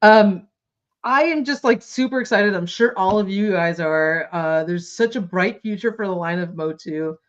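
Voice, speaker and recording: A woman co-hosting a toy news show in casual chat, her voice hoarse and fading, giggly and enthusiastic. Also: mid-range voice, normal speed, dull audio.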